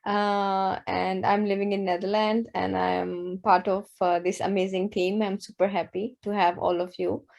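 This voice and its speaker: A woman's voice: medium pitch, flat delivery, moderate pace, creaky, hardly any pauses, a little mellow. A woman from Pakistan introducing herself informally as a team member in an online meeting.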